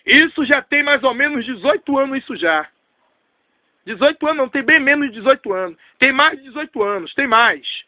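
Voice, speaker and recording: A man's voice: very high-pitched, animated, a little fast, no room sound, phone-quality sound. A man, preaching an emphatic, repetitive testimony sermon. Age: 30s. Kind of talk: fervent storytelling sermon.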